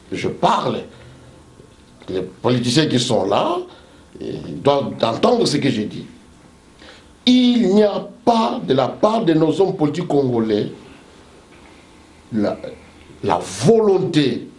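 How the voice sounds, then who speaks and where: Male pastor, preaching cadence, slow emphatic delivery, roomy echo. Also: mid-range voice, a little mellow. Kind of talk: impassioned debate speech.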